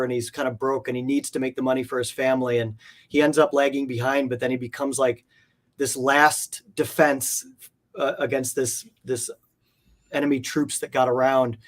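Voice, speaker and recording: A man speaking casually as an interview guest, explaining things in a hesitant, circling way. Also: medium pitch. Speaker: guest, comic art collector.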